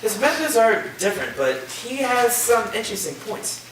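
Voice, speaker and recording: A man's voice: high-pitched, sing-song, moderate pace, fairly bright tone. A man playing a laid-back, agreeable college student who talks casually and cheerfully in a staged reading. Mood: relaxed, upbeat. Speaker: actor in a staged play reading. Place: live staged reading in a reverberant room. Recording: echoey room, distant mic, faint noise.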